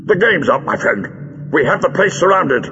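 A man voicing a blustering, exuberant Victorian gentleman with pompous, theatrical exclamations in an audiobook. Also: a little high, very animated, moderate pace, husky, long reverb tail, lo-fi sound, voice pushed up, exaggerated voice. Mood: stern, triumphant. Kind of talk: dramatic audiobook character voice.

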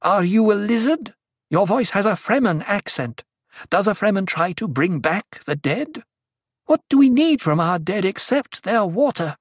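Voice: mid-range voice, takes it slowly, no echo, clean studio sound, voice pushed up. A narrator voicing a fierce young prophetess in an audiobook, commanding and intoning cryptic pronouncements to a crowd. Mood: sharp, angry. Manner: commanding, ritual intoning that turns sharp.

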